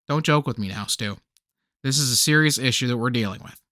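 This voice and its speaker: A male actor playing an excitable, nostalgic, nerdy man in a radio comedy play, with theatrical, geeky banter. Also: mid-range voice, fairly quick, dry recording, studio quality, lightly acted. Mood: earnest, melodramatic.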